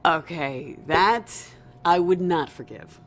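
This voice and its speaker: A woman's voice: fairly low pitch, takes it slowly. A woman playing a wry, loyal deputy in an audio drama, casual and teasing with the boss. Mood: teasing, agreeable. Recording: faint background noise and ambience.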